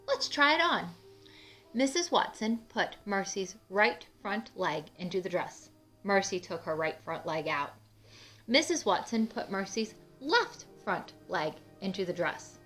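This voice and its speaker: A woman's voice: mid-range voice, unhurried. A woman narrating a children's book expressively and putting questions straight to young listeners. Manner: expressive, addresses children directly. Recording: music under the voice. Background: music.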